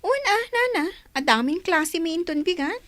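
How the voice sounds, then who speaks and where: An actress playing a cheeky young student daughter in a radio drama. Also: female voice, very high-pitched, lively intonation, moderate pace, a bit bright, no echo.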